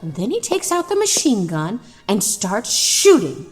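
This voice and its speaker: A woman's voice: medium pitch, wildly swooping pitch, measured pace, faint music behind. A woman playing an encouraging, focused drama director in audio drama dialogue. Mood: absorbed, muttering.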